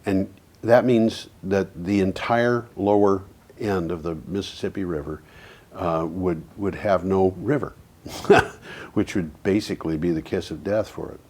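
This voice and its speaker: A man's voice: sits low, steady tone, a little slow, a little mellow, breathy edge. A man co-hosting a local community TV talk show about energy, telling stories in a rambling way, restarting sentences and trailing off. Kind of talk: casual studio chat.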